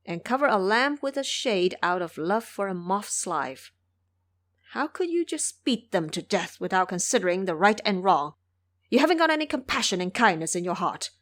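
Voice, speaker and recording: Casting: earnest, moralizing male Buddhist monk for an audio drama, admonishing manner, mid-pitched performed by a woman. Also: close to an everyday voice. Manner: preachy, admonishing.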